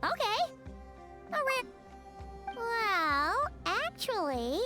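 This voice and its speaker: A tiny, childlike fairy companion in a video game, chatty and excitable. The voice is extremely high and squeaky, swinging wildly in pitch with cheeky exclamations. Music plays clearly behind it. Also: female voice, very slow, heavily acted. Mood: animated.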